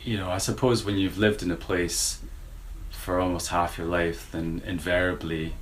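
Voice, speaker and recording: A man in his forties from the United States with a slightly Scottish-tinged American accent, answering interview questions thoughtfully and with many pauses. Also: low-pitched, flat delivery, slight background noise, rough recording. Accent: American with Scottish influence. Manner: thoughtful, hesitant with many fillers. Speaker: university lecturer.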